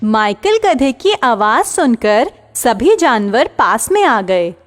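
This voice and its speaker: Slow, lively woman storyteller. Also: fairly high pitch, long reverb tail, soft background music. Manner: slow, clear, expressive storytelling. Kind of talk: scripted narration of a children's story.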